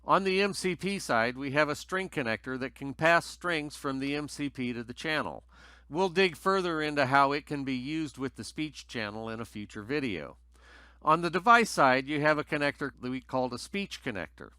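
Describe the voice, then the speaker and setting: A man's voice: fairly high pitch, expressive, normal speed, pushed and bright, no echo. A man narrating a scripted technical tutorial in a methodical, explanatory way as its presenter.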